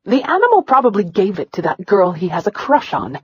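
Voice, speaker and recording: A man's voice: high voice, wildly swooping pitch, fairly quick, breathy edge, dry recording, dull audio, full character voice. A woman voicing a smug young man with a sneering, spiteful delivery.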